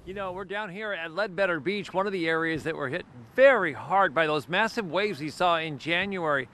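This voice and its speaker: A man in his sixties reporting live outdoors on a beach with a handheld mic, in a brisk, emphatic news delivery. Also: high-pitched, pushed and bright. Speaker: field reporter.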